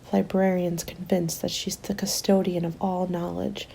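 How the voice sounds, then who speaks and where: A woman playing a weary but hopeful zombie-apocalypse survivor reading out a winter journal, in a soft, breathy, intimate ASMR roleplay style that often slips toward a whisper. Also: lowish voice, flat delivery, normal speed, a little hiss or hum. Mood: tender, reassuring. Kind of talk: ASMR roleplay, scripted dramatic narration.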